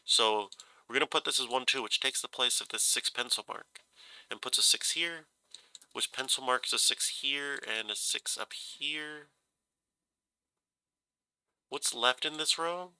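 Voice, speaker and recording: Brief: congested man solving a puzzle aloud, bright gravelly tone, dry close sound, halting and self-correcting. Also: medium pitch, no room sound. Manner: hesitant, musing, self-correcting. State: stuffy nose, slightly scratchy voice from a cold. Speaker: puzzle solver.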